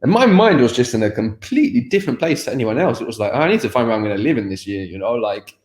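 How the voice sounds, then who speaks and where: A man's voice: mid-range voice, big pitch swings, quick pace, a little mellow, reverberant. A man as a podcast guest, talking candidly about his past, reflective, with many fillers and restarts. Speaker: interview guest, language teacher. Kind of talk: candid personal conversation.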